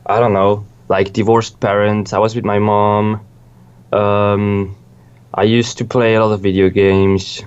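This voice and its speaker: A young man from Switzerland talks through his past in a loose, rambling way, restarting sentences and swearing casually. His voice sits on the low side. The sound is dull and lo-fi. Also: little pitch movement, unhurried, smooth. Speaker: music producer, guest. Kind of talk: casual interview chat.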